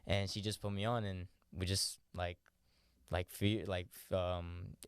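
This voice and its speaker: A young man, a boxer, chatting casually in an interview, relaxed and rambling with lots of "like" and "you know". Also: deep voice, unhurried, clean tone, no echo, clean studio sound. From born in New York, raised in Florida. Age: young adult. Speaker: professional boxer, interview guest.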